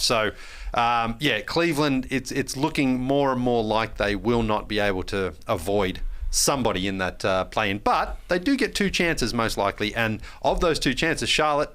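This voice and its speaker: A man's voice: fairly low pitch, bright, forceful tone. A man co-hosting a sports podcast, giving casual analysis and often rephrasing as he makes his point.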